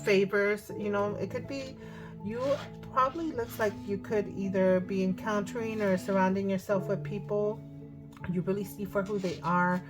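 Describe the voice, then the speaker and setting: A woman with a hoarse voice giving a casual tarot card reading, thinking aloud as she goes. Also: medium pitch, fairly even intonation, moderate pace. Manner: chatty, thinking aloud with fillers. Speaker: tarot reader. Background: music.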